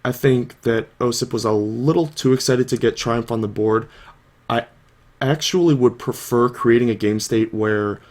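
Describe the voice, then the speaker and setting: A man's voice: medium pitch, moderate pace, a little mellow. A young man with a sore throat, casually talking through a card game match over the footage, thinking aloud with lots of "like" and "you know". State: sore throat, coming down with a cold. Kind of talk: casual video commentary.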